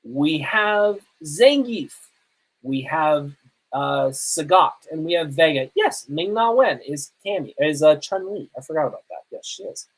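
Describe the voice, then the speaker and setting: A man hosting a podcast, reviewing movies casually in an emphatic, halting way with pauses for effect. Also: fairly high pitch, expressive, poor audio. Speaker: podcast host.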